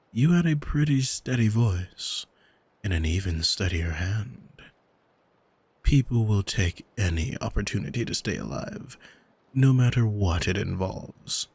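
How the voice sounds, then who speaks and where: A man playing an arrogant demon in an ASMR audio drama, smug and teasing, speaking deliberately and intimately to the listener with a husky voice, close on the microphone. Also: lowish voice, a little mellow, no echo, heavily acted. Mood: amused, mocking. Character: arrogant male demon who owns a soul, smug, taunting. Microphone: close studio microphone, dry.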